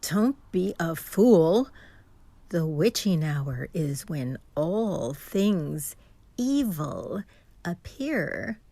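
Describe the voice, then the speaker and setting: An actress playing a superstitious, earnest diner waitress with a folksy manner in a radio mystery drama. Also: female voice, on the low side, big pitch swings, extremely slow, warm tone, no echo, exaggerated voice. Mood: earnest warning.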